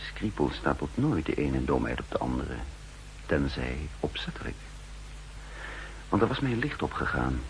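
An actor playing a brooding undercover double agent who narrates his story in measured, introspective Netherlands Dutch, in a 1960s radio drama. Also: extremely low pitch, big pitch swings, gravelly, full character voice, rough recording. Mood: brooding, calculating. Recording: hissy 1960s radio recording.

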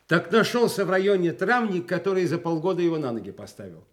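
Radio play: loyal old comrade turned official, familiar, insistent, swooping high delivery. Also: male voice, big pitch swings, quick pace. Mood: encouraging, urgent. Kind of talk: Soviet radio play acting.

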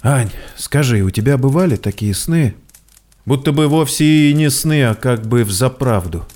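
A man's voice: medium pitch, expressive, moderate pace, a little mellow, lightly acted. A man playing a terse, stubborn but comradely army scout commander, firm and plain-spoken, in an audio drama. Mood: pensive, gentle.

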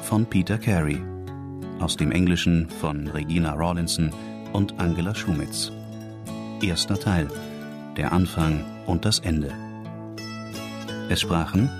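Deep, slow male announcer over guitar. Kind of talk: scripted radio drama title and credits announcement. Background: plucked guitar music.

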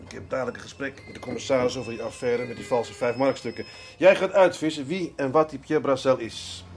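A middle-aged actor playing a shrewd, stubborn senior police detective in a radio drama, speaking drily and deliberately with gentle sarcasm. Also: male voice, medium pitch, soft background music, natural voice. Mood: pensive, firm. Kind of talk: Dutch radio drama acting.

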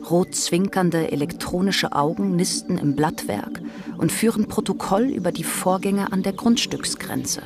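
A woman's voice: on the low side, measured pace. A woman narrating a literary radio play from a script, in a precise, descriptive manner. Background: music.

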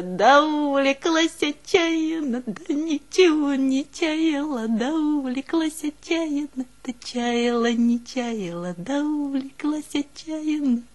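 Village woman, real slow n drawn out, high voice, folksy and sorrowful, stage monologue. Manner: drawn-out, folksy, dramatic, swinging from murmur to outcry. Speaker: stage actress in a one-woman show. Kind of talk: theatrical monologue with folk singing.

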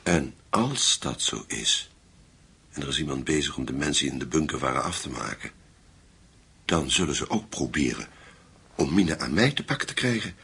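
An actor playing a grave German investigator in a language radio drama, with a gravelly, husky voice. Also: male voice, rumbling low, lively intonation, normal speed, bright, forceful tone, full character voice. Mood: serious, intent. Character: grave German man investigating a wartime secret.

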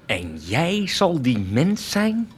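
An actor playing a stern, stubborn baker turned rebel prophet, measured and accusing, in a theatrical radio drama. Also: male voice, on the high side, wildly swooping pitch, noisy background, heavily acted.

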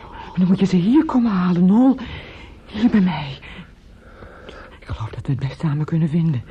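A woman's voice: fairly low pitch, normal speed, natural voice. A woman acting a stubborn, motherly older aunt in a radio play, in short, firm, pleading phrases. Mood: tender, pleading. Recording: dull, hissy old radio recording.

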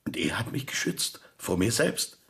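A man's voice: on the low side, big pitch swings, normal speed, vocal fry, fairly bright tone, whispered, exaggerated voice. An actor playing a stubborn theatre director who argues insistently and defends his compromises, in a radio drama. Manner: insistent, defensive, swears when heated. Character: stubborn middle-aged male theatre director.

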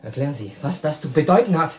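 An actor playing a bewildered, excitable husband caught in a catastrophe, in an urgent radio play style. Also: male voice, fairly high pitch, fast talker, close to an everyday voice. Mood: alarmed, urgent. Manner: urgent, exclamatory, stunned. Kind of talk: dramatic radio play acting.

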